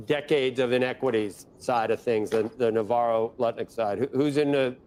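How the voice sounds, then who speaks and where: A man anchoring a TV business news interview, questioning a guest in an exasperated, animated way. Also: mid-range voice, normal speed, a little hiss or hum. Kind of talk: broadcast interview.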